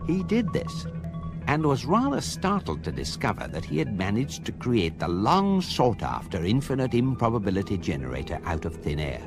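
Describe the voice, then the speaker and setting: A wry, urbane narrator, reading comic radio narration over music. The voice is fairly low but animated, articulate and drily authoritative. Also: male voice, normal speed, vocal fry, full character voice. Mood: deadpan, amused. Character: urbane, wry male narrator of a galactic guidebook.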